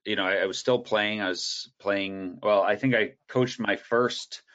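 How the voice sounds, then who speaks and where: A man guest on a video call, telling his coaching story in a relaxed, rambling way with many fillers and restarts. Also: on the low side, measured pace. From United States. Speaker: guest speaker, soccer coach educator. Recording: dull, lo-fi call audio.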